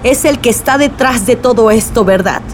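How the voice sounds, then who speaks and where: A woman's voice: fairly high pitch, normal speed, slight background noise, natural voice. A woman playing an anxious, determined village mother in a radio drama, with a Mexican accent and an urgent, questioning way of talking. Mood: indignant.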